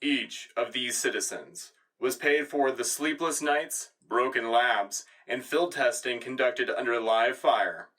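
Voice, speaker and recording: An actor playing an earnest, patriotic wartime news anchor reading a formal, scripted sci-fi radio broadcast. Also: male voice, mid-range voice, takes it slowly, hardly any pauses, a bit bright, far from the mic, low-quality recording. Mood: proud, official. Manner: formal, announcer-like. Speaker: radio co-host.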